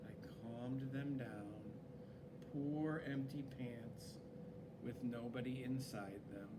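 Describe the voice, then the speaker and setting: A man reading a children's story aloud in an expressive, dramatic way, with swooping emphasis. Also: mid-range voice, slow, very noisy. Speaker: story reader.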